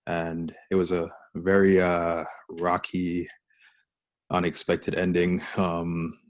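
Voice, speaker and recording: Very deep young guy from jamaica on a video call, flat voice, dry sound, thoughtful and halting. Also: no room sound. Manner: reflective, halting with fillers. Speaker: mural artist and teacher. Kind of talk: panel introduction.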